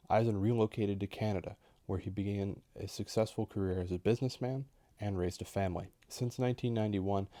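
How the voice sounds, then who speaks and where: A man's voice: low-pitched, warm tone, dry recording. A young man working as an interviewer, delivering a scripted introduction in an interview style. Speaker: interviewer, history graduate student. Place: indoors, recorded for a video call interview.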